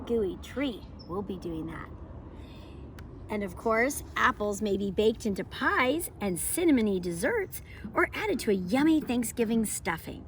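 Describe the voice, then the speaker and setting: Expressive woman teaching and reading to children. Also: medium pitch, a little hiss or hum. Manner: expressive, emphatic storytelling to young children. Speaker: homeschool teacher hosting a read-aloud. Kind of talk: children's picture book read-aloud and lesson presenting.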